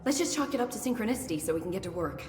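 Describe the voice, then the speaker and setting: A woman's voice: mid-range voice, sing-song, very fast, darkish tone, close to an everyday voice. An actress playing an eager, unflappable young woman scientist who talks in a chatty, analytical way in a video game. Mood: puzzled, breezy. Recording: echoey hall, faint ambient noise.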